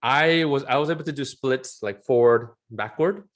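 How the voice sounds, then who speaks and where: A man in his forties from Southern California, chatting as an interview guest in a rambling, animated way and laughing often. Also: medium pitch, moderate pace. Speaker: interview guest, film concept artist. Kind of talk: casual interview chat.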